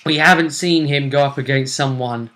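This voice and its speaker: Bright, forceful man hosting a gaming chat. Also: medium pitch, moderate pace. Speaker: host of a tabletop role-play vidcast. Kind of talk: casual tournament preview chat.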